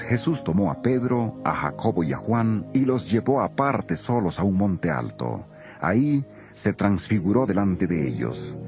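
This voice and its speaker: A man narrating a dramatized Bible in a solemn storytelling style. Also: sits low. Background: soft music.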